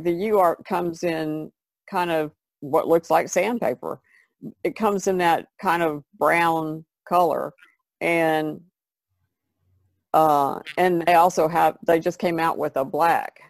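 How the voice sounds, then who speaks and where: A woman's voice: on the low side, gravelly, no echo. A woman explaining things in a casual, conversational way, as an artist guest on a podcast.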